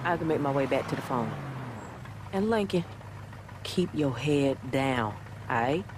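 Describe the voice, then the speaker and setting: A woman's voice: extremely low pitch, expressive, measured pace, noisy background. An actress playing a tough, slangy, streetwise young woman activist in a video game drama. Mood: conspiratorial. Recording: game music under dialogue.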